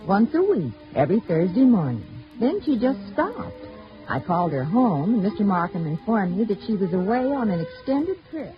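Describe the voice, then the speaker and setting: Animated radio-drama hairdresser played by a woman. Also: medium pitch, moderate pace, close to an everyday voice. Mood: reporting, puzzled. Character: female hairdresser giving a drawn-out statement. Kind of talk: 1950s radio drama acting. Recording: muffled old radio recording, music behind.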